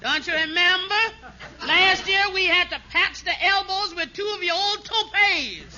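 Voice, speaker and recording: A woman's voice: very high-pitched, moderate pace. An actress playing a scornful, bossy older mother-in-law who needles and sneers in a 1950s radio comedy. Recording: muffled, heavily noisy old radio recording.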